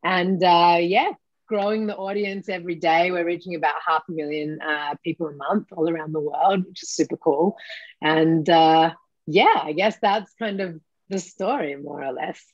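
A chatty Australian woman in her forties, talking on a video call from a home office. Her voice sits a little low and sounds bone-dry and slightly dull, with frequent ums as she talks. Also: moderate pace, dry recording. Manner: chatty, many "um"s and self-corrections. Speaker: guest, company co-founder and CEO. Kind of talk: casual interview over video call.

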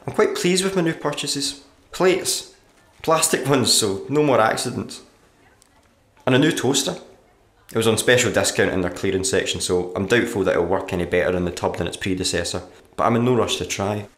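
A low-pitched male voice from an actor plays a weary struggling writer in a radio play. He delivers his diary in a dry, morbid deadpan. The sound is roomy, with a noticeable echo. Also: normal speed, lightly acted. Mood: matter-of-fact. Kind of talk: audio diary monologue, radio play acting.

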